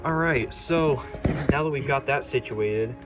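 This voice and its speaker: A man's voice: mid-range voice, a little slow. A man giving an informal hands-on walkthrough, talking casually as he works. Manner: informal, talking while working with his hands. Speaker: host of a hobby fire alarm channel. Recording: music playing behind the voice.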